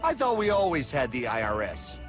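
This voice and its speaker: A man's voice: medium pitch, wildly swooping pitch, a little fast. A man voicing a naive, bewildered cartoon everyman with a hesitant, whiny, stammering way of talking. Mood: confused. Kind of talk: cartoon voice acting. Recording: music behind the voice. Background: background music.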